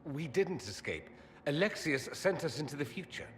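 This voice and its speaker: Smooth cocky wizard in a game, very expressive, bit of hall reverb and faint noise. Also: male voice, a little high, normal speed. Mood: wry, explaining. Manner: theatrical, glib, expressive. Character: suave, witty, self-assured male mage. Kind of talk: dramatic video game acting.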